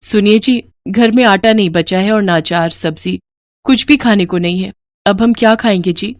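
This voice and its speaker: Woman as a gentle, pleading village wife. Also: medium pitch, moderate pace, no echo, clean studio sound, lightly acted. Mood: worried. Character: caring, humble poor village wife and mother. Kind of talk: animated moral story dialogue.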